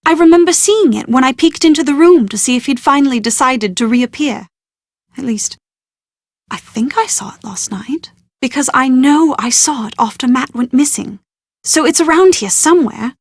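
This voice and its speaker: A young British woman in an adventure game, high-voiced and posh. She is chatty and easily exasperated, with an anxious streak. Her wordy, expressive lines come through close and dry. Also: moderate pace, dry recording. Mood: worried. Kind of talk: scripted video game character dialogue.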